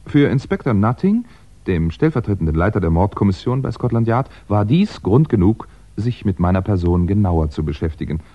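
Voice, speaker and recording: A very deep male voice plays a composed, wry crime writer in a 1960s radio play. He recounts things in a measured, conversational way, with small hesitant asides. The recording is dull and limited in range. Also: very animated, warm tone. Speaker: actor playing narrator and lead.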